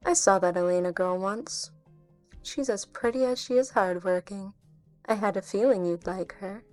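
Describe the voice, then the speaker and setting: A woman's voice: mid-range voice, moderate pace, darkish tone, soft background music, lightly acted. A woman playing a sly covert agent in a game fan dub, teasing and insinuating with cool menace. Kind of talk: scripted anime game dub acting.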